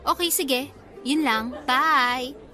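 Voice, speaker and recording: A woman playing a bossy, entitled young older sister in a radio drama, brisk and offhand. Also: sits high, bright, forceful tone, faint music behind, exaggerated voice. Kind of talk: Filipino radio drama acting.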